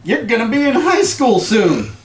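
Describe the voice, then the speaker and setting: A man's voice: extremely high pitch, very animated, normal speed, pitched above the performer's own voice. A man voicing a hearty, overeager dad, folksy and teasing with his teenage son in a tabletop game. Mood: proud, teasing. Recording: echoey room, background noise.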